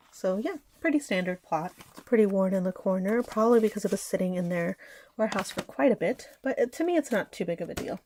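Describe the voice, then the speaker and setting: A woman's voice: on the low side, darkish tone, slight background noise. A woman in her thirties, sick and a bit nasal, chatting casually about her collection with frequent fillers and small laughs. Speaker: anime collector vlogger. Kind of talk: casual haul chat.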